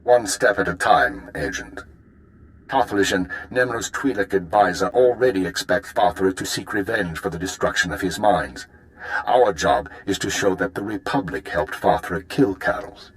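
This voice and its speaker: An actor playing a calm, calculating imperial intelligence handler in a video game, briefing formally and in measured phrases. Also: male voice, sits low, rough recording. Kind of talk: dramatic video game acting.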